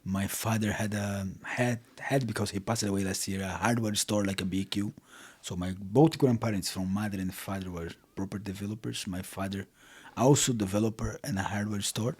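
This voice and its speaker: A man's voice: lowish voice, fairly even intonation, normal speed, few pauses, a little mellow, slightly rough. A man in his thirties from Brazil with a Brazilian accent, a podcast guest talking casually in English with frequent restarts. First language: Portuguese. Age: 30s. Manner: non-native English with repeated restarts. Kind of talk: casual interview talk.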